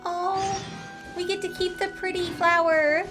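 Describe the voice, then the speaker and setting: A woman's voice: very high-pitched, little pitch movement, unhurried. A woman gaming streamer giving casual, playful, chatty commentary as she reacts to a playthrough. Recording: game music behind the voice.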